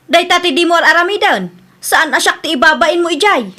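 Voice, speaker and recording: Woman playing a high-voiced, wheedling Ilocano debtor. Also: animated, brisk, sharp tone, heavily acted. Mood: defensive. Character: evasive, pleading younger woman always short of money. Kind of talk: Ilocano comedy radio drama acting.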